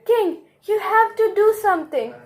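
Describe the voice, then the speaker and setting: A woman's voice: high-pitched, animated, slow pace, a little hiss or hum. A woman playing a breezy, flippant royal minister in an amateur puppet show, chummy with the king. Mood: urgent.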